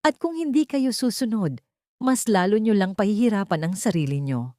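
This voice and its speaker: A woman's voice: mid-range voice, animated, moderate pace, mellow, no echo, clean studio sound, pitched above the performer's own voice, natural voice. A woman voicing a cold, cruel rich woman who commands and mocks, in an audiobook drama. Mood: cruel, mocking. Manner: menacing, commanding, mocking. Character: cold, cruel rich woman hiding malice behind sweetness.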